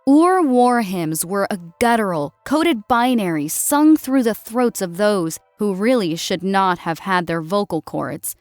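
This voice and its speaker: A woman's voice: medium pitch, lively intonation, unhurried, few pauses, darkish tone, no room sound, faint music behind. A woman narrator reading a scripted, dramatic story as an audiobook.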